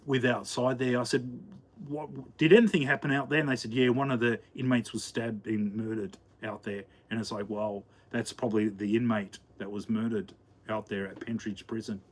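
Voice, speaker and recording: A man's voice: mid-range voice, a little mellow. An Australian man hosting a casual livestream from his home kitchen, chatting to viewers while he cooks, rambling with lots of fillers and restarts. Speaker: livestream host. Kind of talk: casual live chat while cooking.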